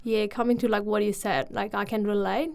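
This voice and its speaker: A young woman from Nepal who speaks natively, chatting casually as a podcast co-host, laughing often and pausing on fillers. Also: medium pitch, steady tone, creaky, a little mellow. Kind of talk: casual conversation.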